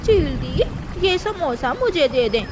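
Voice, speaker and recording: A woman's voice: high voice, very animated, measured pace. A woman voicing a helpful, caring young mother crow in a cartoon, with an earnest, simple delivery. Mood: friendly. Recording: clearly noisy background.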